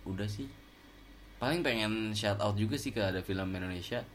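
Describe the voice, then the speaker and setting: A man co-hosting a casual podcast about films, relaxed and hesitant, often trailing off with fillers. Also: lowish voice, moderate pace, slight background noise. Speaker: podcast co-host. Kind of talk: casual film chat.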